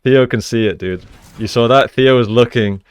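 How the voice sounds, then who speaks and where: A man's voice: medium pitch, normal speed, clear, resonant tone. A man giving conversational, explanatory commentary on video game play, as a coach breaking down each move. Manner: explanatory, conversational, often checks with "right?". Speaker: gaming coach, analyst.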